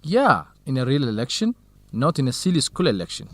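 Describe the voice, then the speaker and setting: An actor playing a modest, easygoing male university researcher in an educational radio drama, polite, low-key and frank. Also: big pitch swings, fairly quick, fairly bright tone, no room sound.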